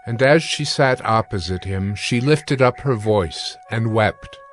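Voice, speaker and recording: A man narrating scripture from a script in a formal, measured way. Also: on the low side, animated. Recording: background music under the voice.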